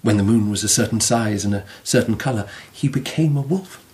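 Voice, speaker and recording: An actor playing a playful, musing Japanese emperor in a radio drama, with a leisurely, teasing manner. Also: male voice, fairly low pitch, fairly quick, darkish tone, lightly acted.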